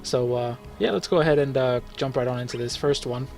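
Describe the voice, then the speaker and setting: A man's voice: medium pitch, brisk, a little mellow, soft background music. A man giving casual, rambling commentary over a mobile game run, full of fillers and self-corrections as he walks viewers through it. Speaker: gaming video host.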